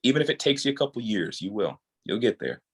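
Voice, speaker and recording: Male, thirties, low-pitched. Earnest, encouraging creator chatting in a casual interview. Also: sing-song, fast talker, creaky, breathy edge. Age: 30s. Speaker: guest, audio drama creator.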